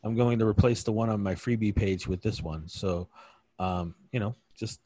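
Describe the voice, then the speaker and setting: A man's voice: on the low side, normal speed, mellow, no room sound. A man from New York City co-hosting a casual chat, plain-spoken, who often trails off with a short "so.".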